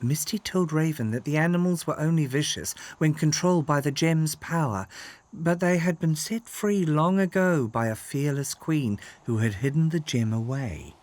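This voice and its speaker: A man narrating a scripted children's story in an expressive, dramatic way. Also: on the high side, normal speed, dry recording.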